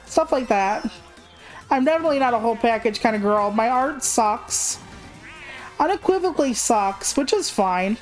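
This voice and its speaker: A woman livestreamer chatting casually in a candid, rambling, self-deprecating way, swearing freely. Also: a little high. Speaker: video game livestreamer.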